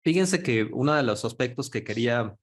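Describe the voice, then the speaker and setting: A man's voice: mid-range voice, moderate pace. A man speaking as a panelist on a video call, telling a story conversationally. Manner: storytelling, quotes others in lively voices. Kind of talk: conversational talk in an online panel.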